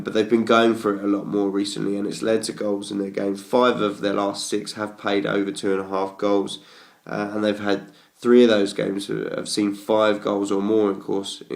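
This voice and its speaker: A man's voice: fairly low pitch, fairly even intonation, normal speed, vocal fry. An English man with a head cold, sounding bunged up, giving football betting tips in a hesitant, stats-heavy way with lots of ums and uhs. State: congested from a cold. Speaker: betting tipster.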